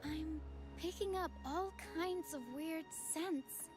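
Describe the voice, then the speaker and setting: High-voiced, curious young adventurer girl for a video game, theatrical delivery over prominent music. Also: slow. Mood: musing.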